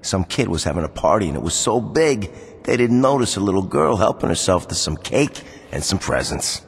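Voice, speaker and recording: A man's voice: deep voice, sing-song, a little fast, creaky, slightly rough, in a large hall, full character voice. A man voicing a brooding, tough mob boss with a New York accent in a video game, terse and streetwise. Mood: wistful, reflective. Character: brooding tough male mob boss, haunted by lost love. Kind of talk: video game dialogue and monologue.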